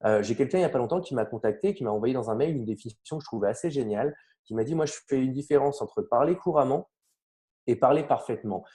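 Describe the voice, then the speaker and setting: A man from France explaining his language learning method in a relaxed interview, animated and often trailing off mid-sentence. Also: medium pitch, fast talker. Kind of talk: casual conversational interview.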